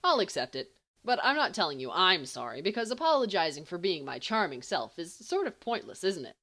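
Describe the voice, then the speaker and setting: A woman's voice: lowish voice, big pitch swings, brisk, pushed and bright, heavily acted. A woman playing a brash, teasing teenage schoolboy in an audiobook, indignant and flippant with a mock-grand manner.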